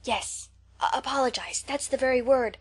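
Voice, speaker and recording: A woman voicing a shy, gentle elderly farmer who talks in a soft, hesitant, coaxing way. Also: medium pitch, normal speed, natural voice.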